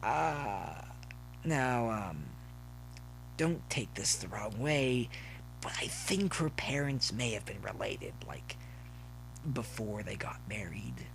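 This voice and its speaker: A man's voice: fairly low pitch, huge pitch swings, slow, gravelly, a bit bright, slight background noise, full character voice, rough recording. A man voicing a self-deprecating male farm pony student in an audiobook, hesitant and folksy. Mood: joking.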